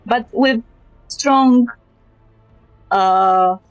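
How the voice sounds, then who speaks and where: A woman's voice: a little high, drawn-out words, no echo, dull audio, faint music behind. A woman with a Polish accent chatting casually on a live game stream, joking and opinionated. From Poland.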